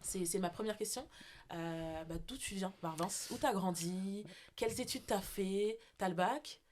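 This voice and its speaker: A woman's voice: mid-range voice, measured pace, a little mellow, slight background noise. A woman hosting a casual podcast interview, friendly and hesitant, with many fillers. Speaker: podcast host.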